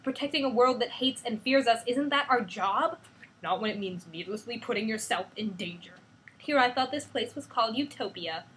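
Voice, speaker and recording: A woman's voice: a little high, expressive, moderate pace, a bit bright, a little hiss or hum, rough recording. A woman voicing a headstrong teenage girl hero who pushes back with raised, indignant lines. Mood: defiant.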